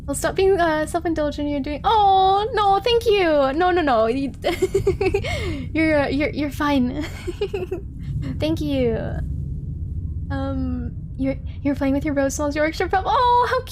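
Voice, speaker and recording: A giggly, expressive woman hosting a casual livestream, chatting with viewers and reading a story aloud. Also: high voice, slow, slight background noise. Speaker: livestream host. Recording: music playing behind the voice. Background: background music.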